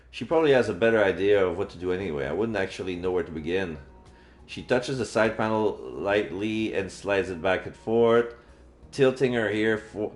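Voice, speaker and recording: Male game streamer, lower register, reading story text aloud between playthrough remarks; echoey room, faint music behind.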